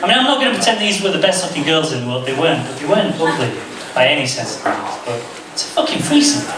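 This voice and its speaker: A man in his thirties telling a self-deprecating stand-up story on stage, stumbling and restarting as he goes. His slightly high voice comes from a mic some way off, and the club around him rings with loud echo and heavy noise. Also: big pitch swings, quick pace, distant mic, crowd noise. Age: 30s. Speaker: stand-up comedian. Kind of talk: stand-up comedy set. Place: comedy club. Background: audience laughter.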